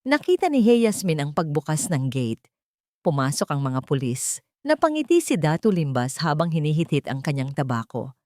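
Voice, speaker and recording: A woman's voice: sits low, sing-song, moderate pace, darkish tone, dry recording, clean studio sound. A woman narrating a scripted audio story in a dramatic storytelling style.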